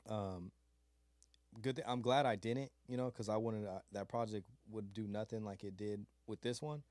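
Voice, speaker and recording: A man's voice: fairly low pitch, fairly even intonation, normal speed, darkish tone, no room sound. A man from California's Mojave desert, a beat maker being interviewed, talking in a laid-back, rambling way full of fillers and slang. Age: adult with a child. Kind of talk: casual interview chat.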